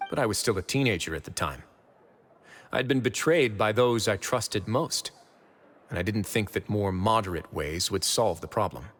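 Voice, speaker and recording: A man playing a composed, brooding duke who runs a fortress, speaking gravely and deliberately in video game dialogue. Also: on the low side, fairly bright tone. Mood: resolute. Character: composed, brooding adult male fortress duke with dark past.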